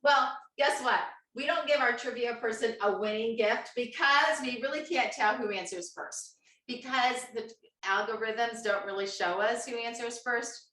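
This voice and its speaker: Woman, slightly high voice, chatty live-stream host, echoey room recording. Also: normal speed, sharp tone. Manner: chatty, quizzes the audience, laughs often. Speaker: host of an online cooking show. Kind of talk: upbeat live-stream hosting. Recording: echoey room, distant mic.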